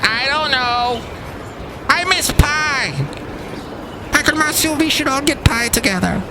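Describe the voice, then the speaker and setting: A man's voice: very high voice, a little slow, voice pushed up. A man putting on the voice of a shaky, childish man who whines about missing pie, recorded outside with street noise around. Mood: plaintive. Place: outside a cafe.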